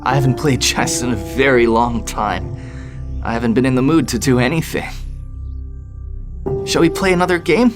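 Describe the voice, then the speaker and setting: A man playing a sorrowful, earnest father, a fallen god, in an animated bedtime story. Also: mid-range voice, normal speed, long pauses, over music, close to an everyday voice. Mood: weary.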